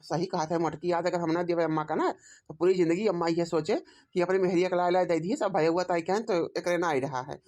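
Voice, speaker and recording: A woman's voice: lowish voice, fairly even intonation, quick pace, darkish tone, lightly acted. Woman acting a put-upon, pleading village husband. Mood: troubled. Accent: Awadhi. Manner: complaining, self-justifying. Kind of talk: cartoon comedy acting.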